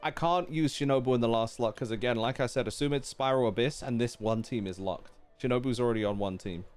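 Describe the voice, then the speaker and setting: A man's voice: medium pitch, fast talker. A man chatting casually as he streams a game, thinking aloud and putting questions to his viewers. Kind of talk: casual live-stream chat while playing a game. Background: game music.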